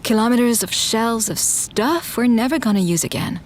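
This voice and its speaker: A woman's voice: a little high, lively intonation, moderate pace, slight background noise, close to an everyday voice. A woman playing a skeptical, dryly teasing space traveller in a sci-fi comedy audio drama. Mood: wry, musing.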